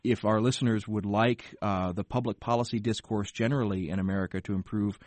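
Low-voiced man hosting a radio talk show. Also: fairly even intonation, a little mellow, no room sound. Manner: measured, thoughtful questions with restarts. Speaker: radio host. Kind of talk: public radio interview.

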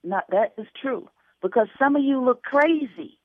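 A woman's voice: high voice, animated, moderate pace, a little hiss or hum. Frank woman calling in by phone. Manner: frank, blunt opinions. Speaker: caller. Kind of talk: call-in on a radio show. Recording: muffled phone line.

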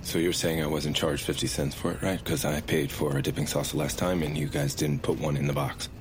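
A man's voice: very deep voice, level tone, fairly quick, background noise. An actor playing a cocky, impulsive male amateur bounty hunter, brash and sarcastic, in an audio drama. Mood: grumpy.

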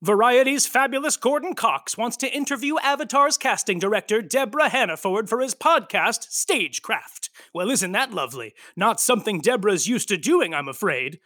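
An actor playing a gossipy narrator who delivers arch, teasing asides. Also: male voice, high voice, lively intonation, normal speed, few pauses, bright, forceful tone. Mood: catty.

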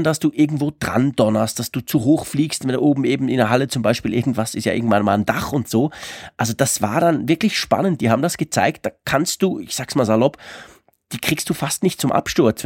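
Man, hoarse from a cold, chatty podcast co-host, dry close mic. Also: mid-range voice, no echo. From Switzerland. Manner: chatty, animated, colloquial. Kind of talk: casual tech podcast chat.

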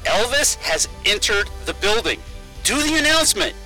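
An actor playing a crude, dismissive stage manager heckling from offstage in an audio drama. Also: male voice, high voice, very animated, normal speed, bright, forceful tone, long reverb tail, soft background music, exaggerated voice, poor audio. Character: rude impatient male stage manager.

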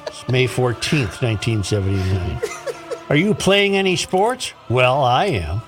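Man, mid-range pitch, talk radio host, deliberate fussy reading, faint background music. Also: sing-song. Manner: deliberate, picky, spelling things out. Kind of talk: reading a letter aloud and chatting.

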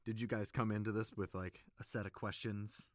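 A man chatting casually as an interview guest and voice actor, informal and halting as he thinks aloud. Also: fairly low pitch, little pitch movement, no echo, clean studio sound.

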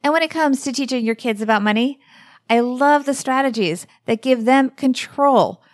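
A woman's voice: high voice, moderate pace, dry recording, clean studio sound. Upbeat Gen X woman podcast host. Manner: upbeat, plugging and summing up. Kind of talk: casual podcast chat.

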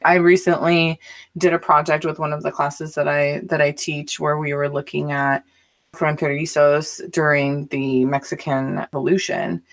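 Emphatic lower-voiced woman in conversation. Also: normal speed. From Arizona and Southern California. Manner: animated, emphatic, restarts often. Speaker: teacher. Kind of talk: casual conversational chat.

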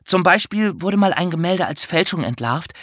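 A man's voice: high-pitched, moderate pace, no room sound, clean studio sound. An actor playing a clever, matter-of-fact boy who explains things to his friends in a scripted podcast. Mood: keen, explanatory. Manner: explanatory, lively.

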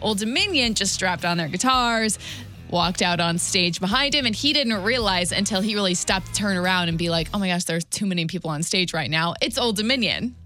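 A woman with a bright, punchy voice chats as a radio co-host. She tells entertainment stories in a loose, informal way at a medium pitch. She is close on the mic, with faint music underneath. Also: a little fast. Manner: chatty, informal storytelling. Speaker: radio morning show co-host. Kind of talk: casual radio entertainment chat. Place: radio studio. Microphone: close radio studio microphone.

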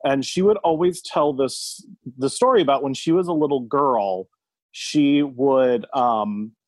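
A man with a mid-pitched voice, speaking as a guest on a podcast. He tells a personal story conversationally, pausing thoughtfully and a little hesitantly, in a dry sound free of room echo.

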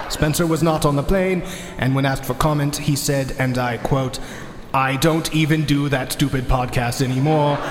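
A man's voice: on the high side, natural voice. A man giving a mock-formal scripted announcement as a show's announcer, pompous and solemn. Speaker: announcer introducing a podcast's Christmas special. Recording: echoey hall with noise and crowd.

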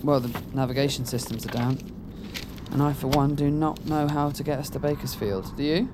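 A woman's voice: low voice, steady tone, normal speed, lightly acted. A woman playing a loyal, anxious and wry relative of a fugitive in a naturalistic audio drama. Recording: noisy car ambience.

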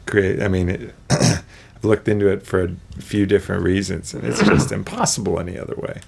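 A man talking as a podcast guest in a relaxed, casual way, clearing his throat now and then. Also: sits low, moderate pace. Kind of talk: casual conversation.

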